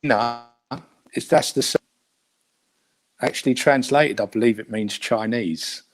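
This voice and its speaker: A man's voice: mid-range voice, unhurried, slight background noise. A man with a Cockney accent from England, chatting as a guest on a video call, hesitant with many fillers and restarts. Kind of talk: casual video call chat.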